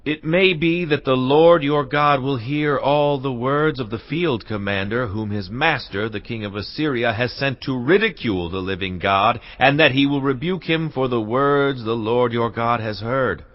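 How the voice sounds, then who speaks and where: A man's voice: mid-range voice, normal speed, hardly any pauses, dull audio, natural voice. Man acting a grave, distressed royal messenger. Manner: grave, pleading. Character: distressed, solemn royal messenger pleading for help. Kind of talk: dramatised audio Bible acting.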